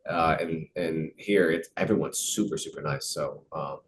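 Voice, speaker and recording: A man's voice: very deep voice, normal speed, background noise. A young American man, a professional soccer player, answering interview questions casually, with many fillers and repeated words. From United States.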